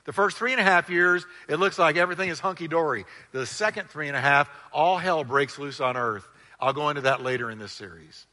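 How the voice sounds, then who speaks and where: A man preaching a sermon with a loud, piercingly bright voice that carries. His pitch sits a little high, and he stretches key words for drama. Long reverb from a church hall trails each phrase. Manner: emphatic preaching with dramatic pitch swings and slow stressed words; calls on the congregation to repeat phrases. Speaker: pastor.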